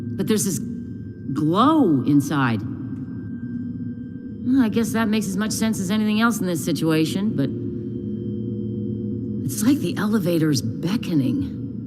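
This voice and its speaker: A woman's voice: big pitch swings, a little slow, long pauses. An actress playing a wary lone woman who talks hesitantly to herself as she ventures onward in an audio drama. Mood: wary, resolved. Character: wary lone woman narrating her descent in an elevator. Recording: loud music and rumble behind the voice. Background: loud music, mechanical rumble.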